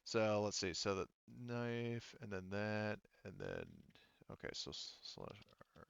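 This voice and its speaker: A man's voice: lowish voice, huge pitch swings, slow pace, creaky, fairly bright tone, clear, resonant tone, dry recording, muffled recording. A man running a tabletop roleplaying game as game master, talking casually and thinking aloud.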